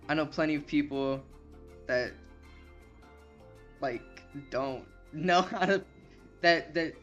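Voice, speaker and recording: A man's voice: on the high side, a bit bright, highs cut off. Rambling young man streaming, faint music behind. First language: Spanish. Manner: rambling, restarts often, reassuring. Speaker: livestreamer. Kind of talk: casual live-stream chat with viewers.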